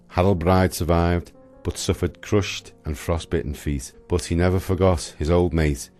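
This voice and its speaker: Narrator, male, exceptionally low voice, solemn storytelling for a radio play, faint music underneath. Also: a little mellow. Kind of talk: scripted radio drama narration.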